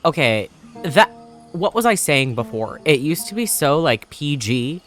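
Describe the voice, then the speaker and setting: A man hosting a let's play, reading game text aloud and reacting in a casual, animated manner. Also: on the high side, a little slow. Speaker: gaming video host. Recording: faint music behind.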